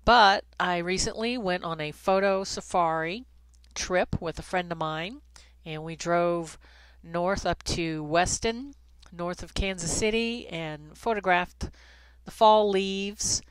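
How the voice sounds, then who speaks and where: A middle-aged woman with a stuffy, congested nose giving a conversational photography software tutorial, with frequent ums and restarts. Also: fairly low pitch, slow pace, smooth, dry recording, poor audio. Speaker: photography instructor. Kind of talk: screen-recorded software tutorial. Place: Kansas City, Missouri, USA.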